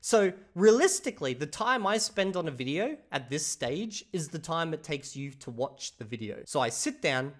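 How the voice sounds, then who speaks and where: Animated swearing man vlogging. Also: on the high side, moderate pace, few pauses. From Australia. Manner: animated, swears freely, says "bro" and "okay?" often. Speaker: language-learning YouTuber. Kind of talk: casual talking-to-camera vlog.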